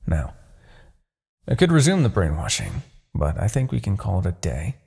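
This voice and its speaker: A man playing a smug, commanding Demon King in a fantasy ASMR roleplay, taunting and possessive, with theatrical, deliberate phrasing. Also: low voice, wildly swooping pitch, creaky, a little mellow, husky, heavily acted. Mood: smug, confident.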